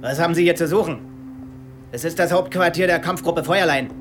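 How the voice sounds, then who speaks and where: An actor playing a suspicious, bitter young Nazi intelligence officer in a radio drama, interrogating with biting sarcasm. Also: male voice, a little high, brisk, close to an everyday voice. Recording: studio radio play with faint music.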